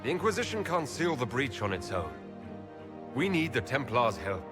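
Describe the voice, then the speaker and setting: An actor playing a firm, measured leader of an inquisition in a fantasy game. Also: male voice, mid-range voice, sing-song, music in the background, lightly acted. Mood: urgent, commanding. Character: resolute male leader of an inquisition, firm and diplomatic. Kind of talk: dramatic fantasy game acting. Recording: game score behind dialogue.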